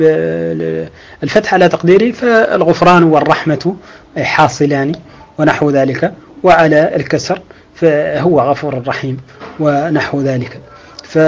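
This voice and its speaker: A man teaching Arabic grammar, explaining slowly with drawn-out pauses and restarts, and reciting quoted verses. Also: a little high, vocal fry, a little mellow. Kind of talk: lecture explaining a grammar text.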